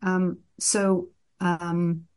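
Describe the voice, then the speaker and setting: Low-voiced woman, hesitant. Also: flat delivery, drawn-out words, darkish tone. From Ireland. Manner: hesitant, many ums and restarts. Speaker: guest. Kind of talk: interview answers.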